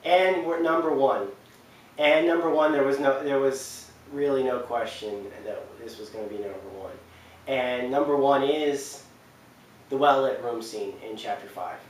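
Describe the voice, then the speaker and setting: A man's voice: mid-range voice, unhurried. Enthusiastic man in a very echoey room. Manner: enthusiastic, rambling, repeats himself for emphasis. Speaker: video countdown host. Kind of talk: unscripted game commentary. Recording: very echoey distant mic, faint noise.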